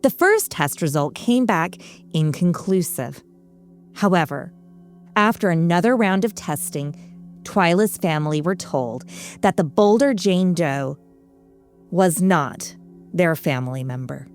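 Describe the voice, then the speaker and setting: A woman with a slightly low voice narrates a scripted true-crime story. Her delivery is slow, measured and dramatic in its phrasing, with faint music underneath. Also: expressive. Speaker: podcast host and narrator.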